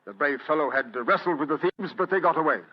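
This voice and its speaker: An actor playing an agitated, self-important wealthy gentleman, urgent and pompous, in old-time radio drama style. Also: on the high side, fast talker, breathy edge. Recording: dull vintage radio drama recording.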